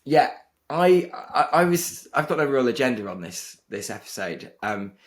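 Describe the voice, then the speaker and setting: A man's voice: mid-range voice, big pitch swings, normal speed. Male podcast host, casual, hesitant delivery full of restarts, mid-range voice. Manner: hesitant, many restarts and fillers.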